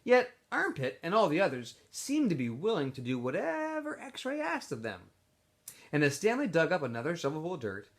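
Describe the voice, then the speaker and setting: A man, a teacher reading a novel aloud to students in an expressive, informal storytelling style. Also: high voice.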